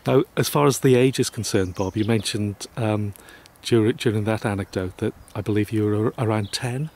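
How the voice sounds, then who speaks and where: A man's voice: fairly low pitch, moderate pace, darkish tone. A man hosting an interview and asking questions politely, with many "um" and "uh" fillers.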